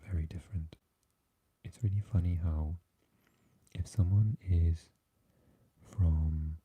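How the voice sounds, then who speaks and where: A man with a Swansea Welsh accent, chatting softly with live viewers and often dropping into an unvoiced whisper. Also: slightly rough. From Wales. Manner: mixes unvoiced whispering with low, deep speaking. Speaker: ASMR live streamer reading chat. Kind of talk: soft-spoken live chat with viewers.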